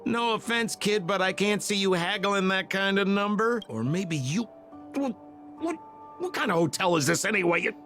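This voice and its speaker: A man's voice: high voice, expressive, fairly bright tone. A man playing a sly, theatrical scam artist in a video game, breezy and smarmy, with a glib, wheedling way of stretching words. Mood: suspicious, probing. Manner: glib, wheedling, drawn-out emphasis. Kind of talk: video game character dialogue. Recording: game music behind the voice.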